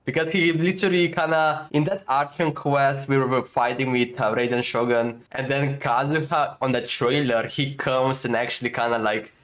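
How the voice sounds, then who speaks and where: A young man casually commenting on a game he is playing, chatty and excitable with lots of fillers. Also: mid-range voice, normal speed, few pauses, poor audio. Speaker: gaming streamer. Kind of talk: casual let's-play commentary.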